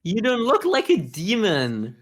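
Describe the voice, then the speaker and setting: A man's voice: high-pitched, wildly swooping pitch, unhurried, a bit bright. A man giving casual, sarcastic, joking reaction commentary on an anime as a podcast co-host.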